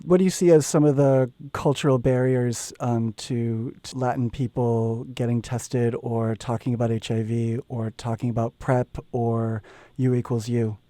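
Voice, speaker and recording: Male interviewer, very dark and mellow tone, plain measured questions, dry sound. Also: medium pitch, fairly even intonation, no room sound. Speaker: interviewer, outreach specialist. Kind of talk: reading prepared interview questions.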